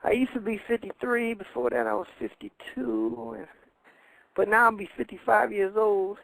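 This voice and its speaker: A man's voice: high-pitched, steady tone, normal speed, gravelly, fairly bright tone, no echo, poor audio. A man in his fifties calling in to a radio show, rambling in a meandering way with nonstandard grammar. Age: 50s. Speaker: caller. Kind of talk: rambling radio call-in chat.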